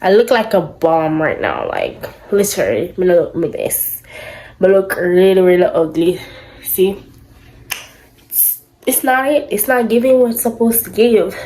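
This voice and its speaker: A woman's voice: medium pitch, big pitch swings, measured pace, dark tone, rough recording. A young woman from Jamaica, chatting bluntly into her phone for her vlog and swearing freely. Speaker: vlogger. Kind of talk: casual vlog and video-chat banter.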